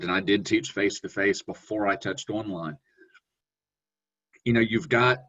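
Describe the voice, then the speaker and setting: A man presenting a webinar on online teaching, talking in a relaxed, conversational way with fillers and self-corrections. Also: slightly rough. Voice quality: deep voice. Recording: dull lo-fi webinar audio.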